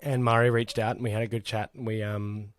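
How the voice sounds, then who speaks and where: A man hosting a casual online chat with artists, relaxed and rambling, with many fillers and restarts. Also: lowish voice, a little fast. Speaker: host.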